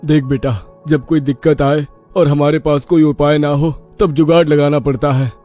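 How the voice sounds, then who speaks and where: A man's voice: on the high side, fairly even intonation, normal speed, darkish tone, faint music behind, lightly acted. A man playing a kindly, practical village father in a children's story. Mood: concerned, reassuring. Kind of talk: children's moral story voice acting.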